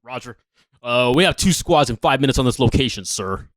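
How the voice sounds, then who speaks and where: A man's voice: mid-range voice, lively intonation, brisk, fairly bright tone, dry recording, clean studio sound, natural voice. A man playing a zealous, pompous gung-ho Marine with a grave, bombastic manner in a comedic radio play. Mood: curt.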